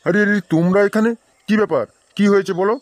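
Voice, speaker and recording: A man's voice: sits high, quick pace, no room sound, close to an everyday voice. A man voicing a flustered, pleading old village father in a comedy cartoon, with a West Bengal accent. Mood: anxious, pleading. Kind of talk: amateur comedy cartoon dubbing. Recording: faint background noise.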